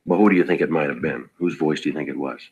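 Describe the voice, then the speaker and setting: An actor playing a terse, businesslike police detective in a 1950s radio drama, deadpan and matter-of-fact, with a husky voice. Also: male voice, a bass voice, fast, no room sound, muffled recording. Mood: polite, probing. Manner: clipped, deadpan, politely matter-of-fact questioning. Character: terse, businesslike male police detective narrating his case. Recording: vintage 1950s radio broadcast.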